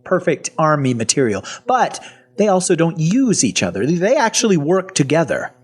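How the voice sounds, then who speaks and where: A man playing a husky, wry zombie-story survivor. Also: a little high, sing-song, normal speed. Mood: defensive, sardonic. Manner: sardonic, colloquial. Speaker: voice actor and narrator. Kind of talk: first-person audio fiction narration and dialogue.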